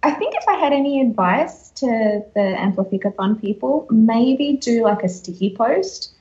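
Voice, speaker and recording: A woman's voice: a little high, animated, normal speed, a little mellow, highs cut off. A woman co-hosting a podcast in casual chat, recorded with a roomy echo. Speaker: podcast co-host. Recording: roomy, echoing sound.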